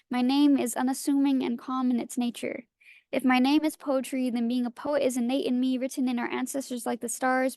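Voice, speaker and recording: A young woman from Hawaii performing spoken word poetry in a measured, rhythmic recitation at a reading. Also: sits high, dark tone, no echo, clean studio sound. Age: young adult. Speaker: featured youth poet. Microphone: handheld mic at a reading.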